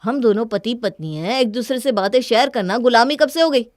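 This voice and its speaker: A woman's voice: a little high, expressive, brisk, no echo, studio quality, natural voice. A woman voicing an earnest, exasperated young husband in a cartoon story, reasoning and reproachful. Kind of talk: Hindi cartoon story dialogue.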